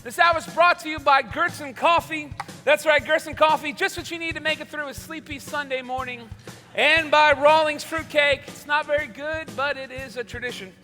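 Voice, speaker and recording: A man's voice: extremely high pitch, fairly even intonation, normal speed, pushed and bright, faint music behind. A man playing a genial, rambling veteran host of an old-time radio show on stage. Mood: showy. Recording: echoey hall with long reverb.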